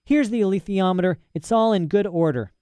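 A man reading a story aloud and voicing a defiant young girl on the edge of tears, with rough, ungrammatical speech. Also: high-pitched, brisk, mellow, no echo, pitched above the performer's own voice, lightly acted. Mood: tearful outburst. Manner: rough working-class speech, indignant.

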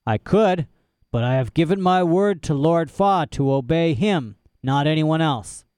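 A man playing a huge bear warrior, deep flat voice, terse, mellow, smooth. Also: measured pace, dry recording, studio quality. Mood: calm.

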